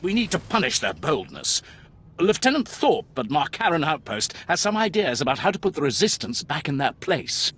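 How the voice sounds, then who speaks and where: A cocky, explosion-loving military lieutenant in a sci-fi game. His raspy, higher-pitched voice swoops dramatically, with faint music behind it. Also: sing-song, normal speed, a bit bright, heavily acted. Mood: gung-ho, bloodthirsty. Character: brash, gung-ho male Imperial lieutenant, explosion-loving. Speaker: military officer character. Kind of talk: video game voice acting.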